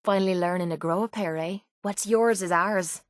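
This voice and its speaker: A woman's voice: lowish voice, normal speed, no room sound, clean studio sound, natural voice. A woman voicing a tough, sarcastic young Irish mercenary in a video game, with blunt, cocky, slangy delivery. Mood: cocky, sardonic. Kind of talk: video game companion voice lines.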